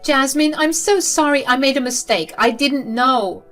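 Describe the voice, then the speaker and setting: A woman's voice: fairly high pitch, animated, normal speed, a bit bright, soft background music, voice pushed up. A woman voicing a spoiled middle-aged mother who whines and begs desperately. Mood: pleading. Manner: whiny, desperate begging.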